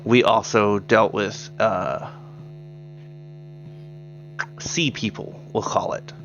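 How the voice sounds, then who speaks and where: A man playing a formal, guarded government agent recruiting in a tabletop roleplay, choosing words deliberately. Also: on the low side, fairly even intonation, creaky, fairly bright tone, dull audio, slight background noise, full character voice. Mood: grave, explanatory.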